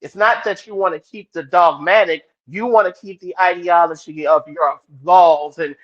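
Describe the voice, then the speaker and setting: A man with a fairly high voice, talking with blunt, profane emphasis. He often stops and restarts his sentences. The sound is a little dull and lo-fi. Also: steady tone, moderate pace. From New Orleans, US. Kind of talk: casual discussion.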